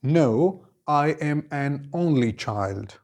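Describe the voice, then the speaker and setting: A man, teaching an English lesson and giving clear, deliberate model answers, each repeated in several forms. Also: fairly high pitch, expressive, darkish tone. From Khyber Pakhtunkhwa, Pakistan. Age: 20s.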